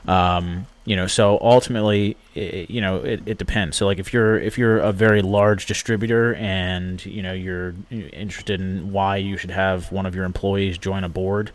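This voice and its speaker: A man talking in a relaxed panel discussion about serving on association boards, measured and full of fillers. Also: low voice.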